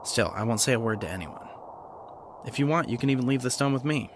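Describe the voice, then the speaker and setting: An actor playing an earnest, bookish, kind young man in an audio drama, with a gentle, lightly teasing manner. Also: mid-range voice, lively intonation, quick pace, background noise, lightly acted. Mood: reassuring. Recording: music bed under the voice.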